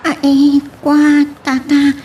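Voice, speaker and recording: An actress voices a flustered young woman, timid and hesitant. Her voice sits very high and she answers evasively, in the manner of a radio drama. Faint noise lies behind her. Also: monotone, mellow, distant mic. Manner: hesitant, halting. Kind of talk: Ilocano radio-style drama acting.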